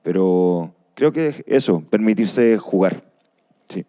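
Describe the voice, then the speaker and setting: A Chilean man talks informally on a panel. His voice is low and softly mellow, with a clean, smooth tone and a thoughtful delivery. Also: animated, slow, dry recording, studio quality. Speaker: radio drama actor on a panel. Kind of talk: casual panel discussion.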